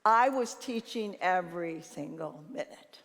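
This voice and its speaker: An older woman with a naturally loud voice gives an informal conference talk, telling anecdotes and acting out bits of conversation. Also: lowish voice, lively intonation, a little slow. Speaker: conference speaker and leadership consultant. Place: large reverberant conference hall. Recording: reverberant hall sound.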